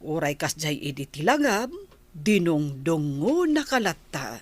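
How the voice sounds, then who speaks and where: A caring old grandmother, unhurried and patient in her speech. Her pitch swings expressively in the manner of Ilocano radio drama. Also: big pitch swings, no room sound, exaggerated voice. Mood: coaxing, firm.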